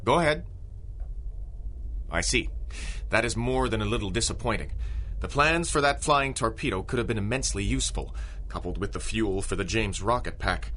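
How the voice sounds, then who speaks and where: A man's voice: fairly low pitch, expressive, moderate pace, fairly bright tone, a little hiss or hum. A man playing an arrogant, cold, inhuman genius villain in a 1930s-style radio drama, pompous and imperious. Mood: smug, commanding.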